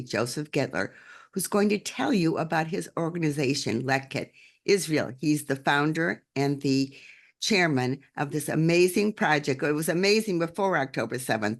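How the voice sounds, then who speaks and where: A woman hosting an interview show, opening with an upbeat, deliberately paced introduction over a video call. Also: sits low. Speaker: podcast host.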